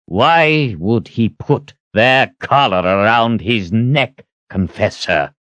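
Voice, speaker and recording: Male narrator as old wizard, fierce temper, wide pitch swings, intense, brooding, fantasy audiobook. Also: sing-song, takes it slowly, dry recording, highs cut off, heavily acted. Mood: stern disbelief. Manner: intense, brooding, explodes in anger. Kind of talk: dramatic audiobook character reading.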